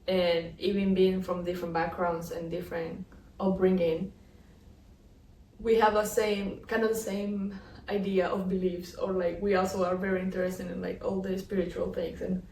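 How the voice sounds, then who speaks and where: A woman's voice: fairly low pitch, flat delivery, moderate pace, darkish tone. Young colombian woman, giggly chatter, roomy echo, set back from the mic. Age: 20s. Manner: giggly, lots of "like" fillers. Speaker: podcast co-host. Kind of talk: casual chat. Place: indoor room in the Netherlands. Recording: echoey room, mic at a distance.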